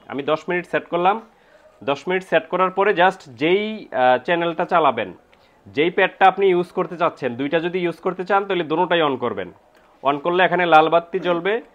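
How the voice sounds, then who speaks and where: A man with a Bangladeshi accent presents equipment in an informal sales style. His voice sits a little higher than average, and he explains step by step with hesitant pauses and repeats. The sound is slightly muffled and lo-fi. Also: fairly bright tone. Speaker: medical equipment seller and presenter. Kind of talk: informal product demonstration and sales pitch.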